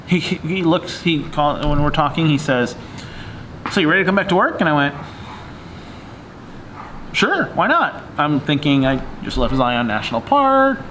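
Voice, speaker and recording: A man co-hosting a casual vlog, telling a story haltingly and acting out remembered dialogue. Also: a little high, big pitch swings, fairly bright tone. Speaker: vlog co-host. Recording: faint background noise.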